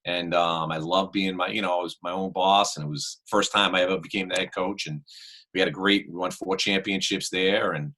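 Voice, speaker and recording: A middle-aged man with a Boston accent, deep in pitch and forceful in tone. He chats loosely as a guest coach on a podcast, piling on "you know" and "um" as he goes. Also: steady tone, fairly quick. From Worcester, Massachusetts. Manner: rambling, full of "you know" and "um". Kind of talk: casual interview chat.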